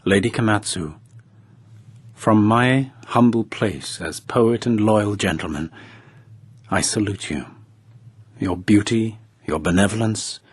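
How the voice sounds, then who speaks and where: An actor playing a ceremonious court poet reading a flattering letter aloud, with a husky, formal delivery, in a radio drama. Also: male voice, lowish voice, no room sound, studio quality. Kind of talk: BBC radio drama acting.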